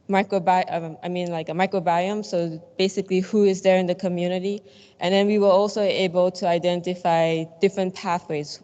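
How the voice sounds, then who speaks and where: A woman from Singapore in her thirties giving a scientific conference talk, relaxed with many fillers. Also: fairly low pitch. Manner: casual with frequent fillers. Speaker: conference speaker, plant biology researcher. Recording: long hall reverb.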